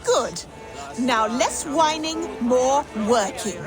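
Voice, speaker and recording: Over clear music, a bossy Viking villager speaks in a high voice at a slow pace. She is sharp-tongued and suspicious, a scolding game character. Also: expressive. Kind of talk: video game voice acting.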